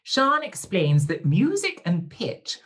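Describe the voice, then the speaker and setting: A man's voice: high-pitched, wildly swooping pitch, slow pace, a bit bright. Theatrical, wordy male podcast host. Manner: flowery, theatrical, fond of "darling" and wordplay; laughs readily. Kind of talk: podcast interview chat.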